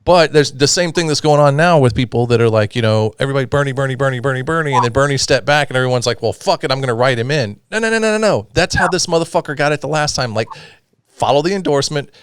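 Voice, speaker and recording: A man's voice: mid-range voice, expressive, quick pace, smooth, dry recording. A man from Georgia in the US hosting a casual podcast chat, talking bluntly and swearing a lot. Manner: blunt, heavily profane. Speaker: podcast host.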